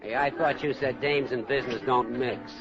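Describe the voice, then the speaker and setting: An actor playing a hard-boiled, slangy crook with clipped talk, in 1940s radio style. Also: male voice, mid-range voice, fairly even intonation, moderate pace, lightly acted. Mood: matter-of-fact. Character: slangy, hard-boiled male crook, sidekick in a robbery scheme. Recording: dull old radio, loud music and crowd.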